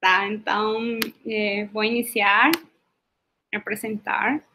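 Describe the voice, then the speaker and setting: A woman's voice: medium pitch, level tone, slow pace, pushed and bright, a little hiss or hum. A woman from Colombia with a Colombian Spanish accent, leading an online workshop over a video call, with many hesitations and tag questions. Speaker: workshop facilitator.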